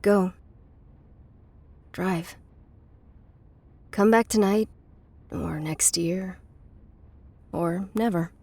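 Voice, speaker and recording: An actress playing a weary, guarded middle-aged woman with a bitter past in a naturalistic, measured audio drama performance. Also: on the low side, gravelly, a little mellow, breathy edge, no echo, exaggerated voice. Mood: resigned, quiet.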